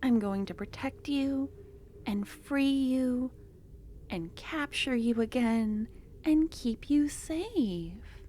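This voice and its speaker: Woman doing a clingy creepy cultist girlfriend for asmr, slow soft talk, whispery, sweet but menacing. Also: high-pitched, expressive, a little hiss or hum. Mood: soothing whisper. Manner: soft-spoken, drifting into whispers; tender yet menacing. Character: obsessive possessive female cultist lover. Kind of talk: ASMR roleplay.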